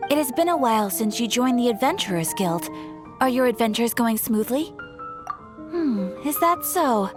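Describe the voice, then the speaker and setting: A woman acts a helpful young receptionist at an adventurers' guild in an anime game. Her voice is on the high side and very expressive, formal and courteous, over soft background music. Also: moderate pace, close to an everyday voice. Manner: polite, formal, encouraging. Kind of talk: English anime-style game dub.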